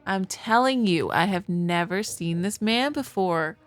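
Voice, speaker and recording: A woman's voice: medium pitch, expressive, measured pace, soft background music, close to an everyday voice. A woman playing an indignant, defiant young wife in a visual novel, with dramatic, emphatic delivery. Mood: disbelieving, insistent.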